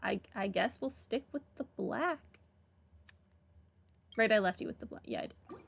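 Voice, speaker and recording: A woman's voice: mid-range voice, expressive, unhurried, gravelly, slightly rough. A woman giving casual playthrough commentary as a gaming host, rambling and dryly sardonic. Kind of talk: casual playthrough commentary, reading dialogue aloud.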